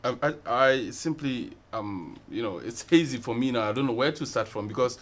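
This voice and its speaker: A man's voice: mid-range voice, animated, moderate pace. A man in his thirties hosting a TV studio interview, asking probing questions and often repeating words as he restarts. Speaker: TV talk show host. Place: TV studio set.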